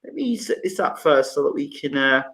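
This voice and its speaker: A man hosts a live online talk show, chatty and welcoming with an easy joke. His voice sits high and rings with a bright, forward edge. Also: lively intonation, brisk. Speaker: host.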